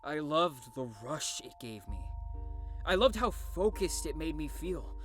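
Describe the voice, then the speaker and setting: A man's voice: on the high side, sing-song, a bit bright. Troubled, intense teenage boy over music. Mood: agitated, fervent. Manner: emotional, halting, bitterly self-mocking. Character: troubled teenage boy confessing past drug addiction, self-loathing, intense. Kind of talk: audio drama acting, confessional monologue. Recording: music behind the voice.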